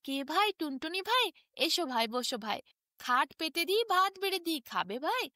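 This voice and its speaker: A woman's voice: high voice, very animated, normal speed, bright, forceful tone, dry recording, clean studio sound, heavily acted. A woman voicing an eager, boastful little mosquito with a rousing manner in a storybook tale. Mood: curious. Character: swaggering, eager little mosquito rallying its swarm.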